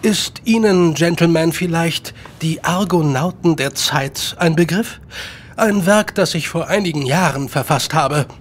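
An actor playing an eager, talkative Victorian gentleman writer in a radio drama, speaking in formal, wordy sentences. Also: fairly high pitch, a little slow, slight background noise, natural voice. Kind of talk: German radio drama acting.

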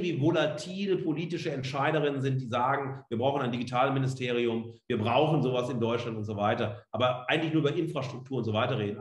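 The recording has a noticeably roomy echo, as from an indoor video call. A middle-aged man with a mid-pitched voice speaks as a professor giving a talk. His delivery is emphatic and unscripted, with pointed rhetorical questions. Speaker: professor giving a guest lecture. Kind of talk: freely spoken online lecture with slides. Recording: roomy, echoing indoor sound.

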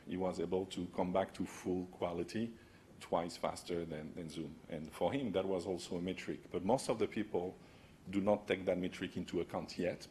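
A man's voice: sits low, darkish tone, a little hiss or hum. A man in his forties giving a technical conference talk at a podium, explaining casually and often checking in with "right?". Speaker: conference speaker. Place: conference room, large indoor hall. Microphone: podium microphone.

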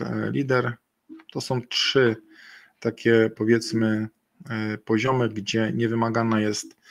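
A middle-aged man presenting slides in a webinar over a video call, halting and filling pauses with hesitations. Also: on the low side, creaky. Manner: halting, many fillers and restarts. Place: indoor room. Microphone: video call webcam.

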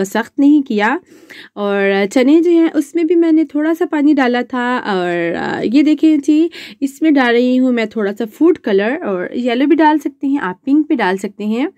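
A woman's voice: high voice, animated, normal speed, dark tone, no echo. A woman, chatting politely through a home cooking video as a mother and vlogger. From Pakistan. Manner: chatty, polite with frequent "janab" and "ji". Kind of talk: casual cooking voice-over.

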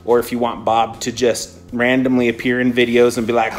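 A man's voice: medium pitch, monotone, brisk. Jokey man filming himself in a storeroom. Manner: jokey, chatty, self-mocking. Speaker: print shop coworker. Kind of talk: casual chat to camera. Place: print shop storeroom indoors. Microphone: phone at arm's length.

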